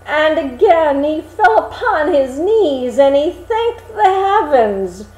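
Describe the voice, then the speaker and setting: A woman reading a fairy tale aloud in an expressive, dramatic storytelling manner. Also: high-pitched, reverberant, low-quality recording. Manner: animated, dramatic, deliberate phrasing. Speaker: storybook reader.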